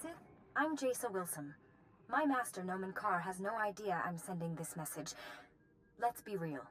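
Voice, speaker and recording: A woman's voice: mid-range voice, lively intonation, moderate pace, fairly bright tone, close to an everyday voice. Woman acting a direct, defiant young Jedi padawan. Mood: urgent candour. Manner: direct, earnest. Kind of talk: scripted video game acting. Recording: music behind the voice.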